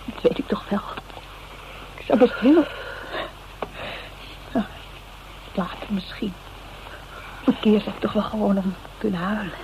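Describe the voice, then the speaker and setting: An actress playing a shaken, grieving secretary in a radio drama, speaking colloquially in halting, broken sentences. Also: female voice, mid-range voice, close to an everyday voice. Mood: holding back tears. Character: shaken, grieving female secretary holding back tears. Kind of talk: naturalistic radio drama dialogue. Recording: dull, noisy radio drama recording.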